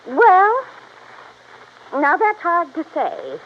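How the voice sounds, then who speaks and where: A folksy older small-town widow with a rural American accent. She rambles and exclaims, her pitch swinging up and down. It is radio drama, with a muffled sound and light hiss. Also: wildly swooping pitch, extremely slow, heavily acted. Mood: gossipy. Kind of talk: old-time radio drama acting. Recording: dull, hissy vintage radio recording.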